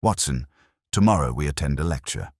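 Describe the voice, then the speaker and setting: Guy doing a shrewd victorian detective for an audiobook, super low voice, precise, formal, dry close recording. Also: animated, brisk, darkish tone, slightly rough, no echo, full character voice. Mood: grave, resolute. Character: shrewd, grave, tireless Victorian male detective. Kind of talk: audiobook character dialogue.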